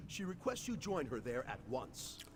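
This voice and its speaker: A man's voice: mid-range voice, huge pitch swings, moderate pace. A man playing an honorable, earnest sword apprentice in a fantasy video game, with formal, solemn wording. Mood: earnest, urgent. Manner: formal, solemn herald-like delivery.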